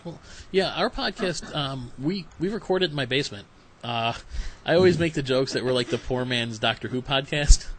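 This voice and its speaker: A man's voice: medium pitch, animated, normal speed, a bit bright. A man hosting a podcast, chatting casually in a rambling, self-deprecating way with his guests. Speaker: podcast host interviewing guests. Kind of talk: casual conversational interview. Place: home basement podcast setup. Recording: faint background noise, home podcast.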